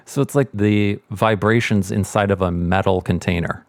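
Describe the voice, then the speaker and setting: A man's voice: lowish voice, fairly even intonation, measured pace, no echo. A man giving a casual sound-mixing tutorial, thinking aloud informally as he demonstrates.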